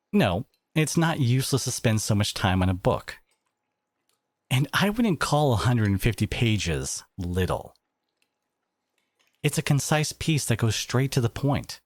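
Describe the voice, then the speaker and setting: A man acting a devoted, protective boyfriend in an audio roleplay, speaking in an earnest, halting, conversational way with an edge of sarcasm. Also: medium pitch, big pitch swings, dry recording. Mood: defensive, irritated. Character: devoted, protective boyfriend confronting his partner's family, adult man.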